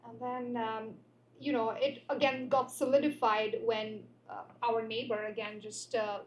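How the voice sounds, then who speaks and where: A woman's voice: on the high side, flat delivery, a little slow. A woman sharing her story on a panel, hesitant and full of fillers. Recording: dull lo-fi sound with faint noise.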